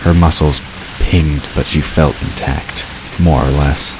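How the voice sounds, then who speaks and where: A man's voice: extremely low pitch, fairly even intonation, unhurried. A man narrating a dramatic fantasy story from a script. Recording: clear hiss behind the narration.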